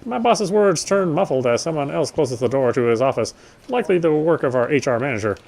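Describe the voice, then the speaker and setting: A man's voice: fairly high pitch, expressive, brisk, gravelly, darkish tone. A man gaming and reading a visual novel's narration aloud, with casual asides. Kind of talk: let's play read-aloud with casual asides.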